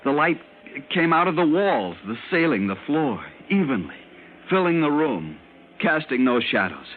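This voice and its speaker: A man's voice: on the high side, very animated, a little slow. A man playing a frightened lieutenant, telling his strange story intensely in an old-time radio drama. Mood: tense recounting. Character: frightened military lieutenant recounting a strange captivity, urgent and haunted. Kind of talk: old-time radio drama acting, first-person narration. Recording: noisy, narrow-band old radio recording.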